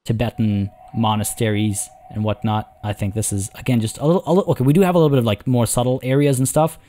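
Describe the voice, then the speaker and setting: A man with a hoarse, tiring voice, chatting casually as he plays a zoo-building game and rambling through his thoughts. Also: mid-range voice, fairly even intonation, quick pace, warm tone. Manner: rambling, chatty, many restarts and fillers. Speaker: let's play host. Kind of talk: casual gameplay commentary.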